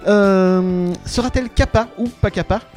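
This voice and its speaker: A man presents a live show as its host. His voice sits a bit high, and he delivers introductions with playful, exaggerated grandeur. Music plays softly behind him. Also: moderate pace. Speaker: podcast host. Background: background music.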